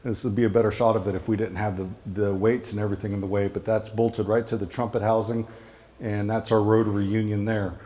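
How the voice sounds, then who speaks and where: A man's voice: on the low side, level tone, fast talker, dark tone. A man giving an informal technical product presentation in a conversational, explanatory way, often checking in with his listeners.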